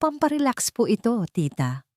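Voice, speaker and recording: A woman's voice: fairly high pitch, sing-song, moderate pace, darkish tone, no echo, studio quality, voice pushed up. A woman voicing a scheming young fiancée in a dramatic romance audio story. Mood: sweet. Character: scheming woman, sweetly tearful fiancée to faces, coldly menacing in secret.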